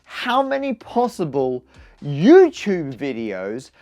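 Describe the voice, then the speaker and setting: A man hosting a maths video, explaining enthusiastically to viewers in an animated, emphatic way with wry asides. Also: a little high, takes it slowly.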